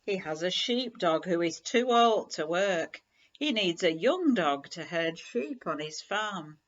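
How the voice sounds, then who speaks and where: A woman voicing a kindly old man in a storybook, gently explaining things to his dog. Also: fairly low pitch, lively intonation, slow pace. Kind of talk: children's storybook read-aloud. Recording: slightly dull, lo-fi recording.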